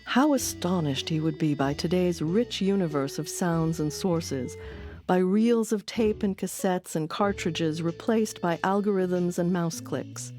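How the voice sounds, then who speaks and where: A woman's voice: lowish voice, animated, measured pace, darkish tone, soft background music. A woman reading scripted narration as the host of a documentary podcast.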